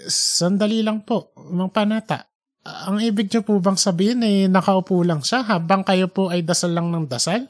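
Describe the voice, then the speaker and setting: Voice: mid-range voice, moderate pace, natural voice. Someone voicing a polite, curious young man. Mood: puzzled. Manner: respectful, questioning. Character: polite, curious young male interviewer, easily baffled. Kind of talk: fictional audiobook character dialogue.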